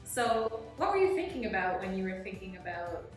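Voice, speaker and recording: A woman's voice: medium pitch, sing-song, fairly quick, faint music behind. Enthusiastic woman interviewer in very echoey room. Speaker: editor and interviewer. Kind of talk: casual interview chat.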